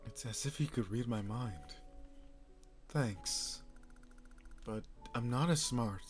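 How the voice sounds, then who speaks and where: A man voicing a self-doubting young office worker who speaks quietly and apologetically. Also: mid-range voice, takes it slowly, faint music behind, lightly acted. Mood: wistful.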